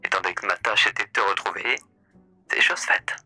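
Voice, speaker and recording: A man's voice: sits low, fairly even intonation, a little fast, pushed and bright, no room sound, in a whisper, faint music behind. A man voicing a suave, theatrical male radio demon who talks in a courteous, mocking way during a voice-chat roleplay. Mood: sly.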